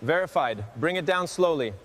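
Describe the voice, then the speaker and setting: A man plays a businesslike male technician with a notably high voice. He gives brisk workshop calls over a faint noisy background. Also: animated, natural voice. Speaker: restoration technician. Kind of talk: scripted workshop team dialogue.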